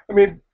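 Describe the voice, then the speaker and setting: A young man chatting casually on a livestream, answering viewers in a rambling, halting way with many fillers. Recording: dull lo-fi livestream audio.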